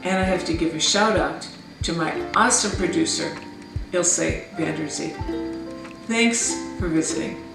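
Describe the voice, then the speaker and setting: A woman's voice: lowish voice, unhurried, vocal fry, reverberant, recorded from a distance, music in the background, low-quality recording. A woman speaking to viewers as an author and host of a read-aloud series, in a scripted sign-off style.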